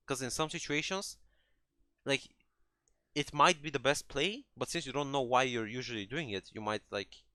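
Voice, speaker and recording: A man's voice: medium pitch, normal speed, pushed and bright, dry recording, clean studio sound. A man coaching a player through a game replay in a conversational, explanatory way, restarting phrases and often checking that he is followed. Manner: hesitant phrasing with many restarts, checking understanding with "right?". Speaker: gaming coach.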